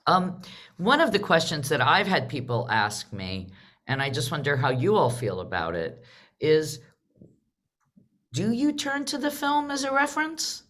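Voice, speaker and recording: A woman's voice: deep voice, big pitch swings, measured pace, fairly bright tone. Woman moderator leading a live panel. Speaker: moderator of a theater panel discussion. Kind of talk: live online discussion hosting.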